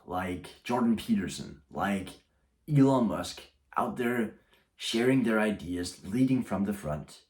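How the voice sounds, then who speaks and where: A young man speaks casually to camera in a deep, low voice. He rambles, often breaking off and restarting his sentences as he shares his thoughts. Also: a little mellow. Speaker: YouTuber.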